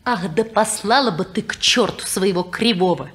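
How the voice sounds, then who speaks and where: An actress playing a lively, scheming young woman who talks quickly, teasing and impatient, in an old radio play. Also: medium pitch, natural voice. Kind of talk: 1950s radio play acting.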